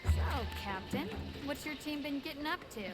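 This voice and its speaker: A woman playing an excitable, playful young teammate in a video game. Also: high voice, normal speed, over loud music. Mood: curious.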